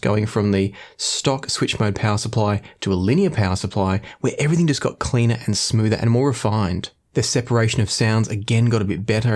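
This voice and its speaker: A man hosting an audio review, explaining things in a measured, conversational way. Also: mid-range voice, a little mellow. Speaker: host of an audio review show. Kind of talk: scripted-sounding product review to camera.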